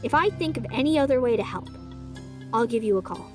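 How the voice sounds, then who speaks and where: A woman voicing a quirky male radio hobbyist living off the grid, talking casually and dropping to a hush for secrets. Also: a little high, animated, a little fast, music in the background, natural voice. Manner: casual, hushed when sharing secrets.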